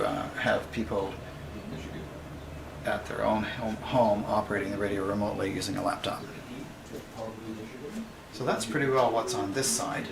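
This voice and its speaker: A man gives an informal explanatory walkthrough of a radio station, speaking in a halting, matter-of-fact way. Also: fairly low pitch, vocal fry. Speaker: radio club member giving a station tour. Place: indoor amateur radio station room. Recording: roomy, noisy recording, distant microphone.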